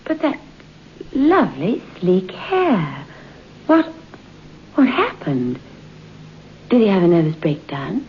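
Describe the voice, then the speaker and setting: A woman's voice: medium pitch, huge pitch swings, slow, long pauses, dull audio, slight background noise, exaggerated voice. An actress playing an effusive, gossipy young society woman in a vintage radio drama. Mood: gushing.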